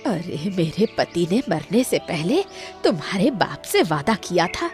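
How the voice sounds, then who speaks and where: A woman's voice: lowish voice, very animated, unhurried, darkish tone, soft background music. A woman voicing a bitter older mother-in-law in a cartoon story, harsh and taunting. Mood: resentful taunting. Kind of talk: Hindi cartoon story dialogue.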